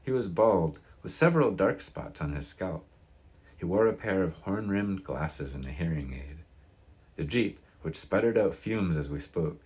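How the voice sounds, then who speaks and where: A man with a deep voice narrates a novel for an audiobook. He reads in an expressive, scripted way, with intonation that moves widely up and down. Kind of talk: scripted fiction narration, read aloud.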